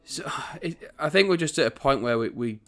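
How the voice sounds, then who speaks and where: A man with a croaky early-morning voice talks casually over a strategy game. He rambles and corrects himself as he goes, in a middling pitch at a desk mic in his home setup. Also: expressive, moderate pace. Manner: chatty, rambling, self-correcting. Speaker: gaming channel host.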